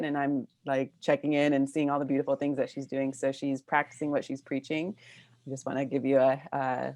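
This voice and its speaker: A woman's voice: deep voice, no room sound. An American woman introducing herself casually as a panelist, chatty and inclined to digress. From Los Angeles, United States. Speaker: panelist, interviewer.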